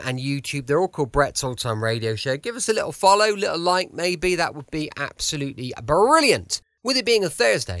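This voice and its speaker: A man's voice: on the high side, a bit bright, no echo. A man with a British accent chatting informally as he introduces his podcast. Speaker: podcast host.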